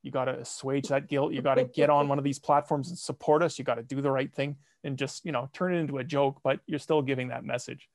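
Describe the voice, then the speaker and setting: A man's voice: mid-range voice, fairly even intonation, quick pace, few pauses, dry recording, clean studio sound. A man guest on a podcast, chatting casually with a wry, tongue-in-cheek manner. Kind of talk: casual conversational chat.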